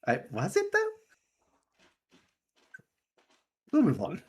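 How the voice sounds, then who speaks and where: A reserved, stiffly polite adventurer in a tabletop fantasy game, acted by a man. The voice is husky and varies widely in pitch, while the manner stays hesitant and guarded. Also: wildly swooping pitch, brisk, exaggerated voice. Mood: reluctant, awkward.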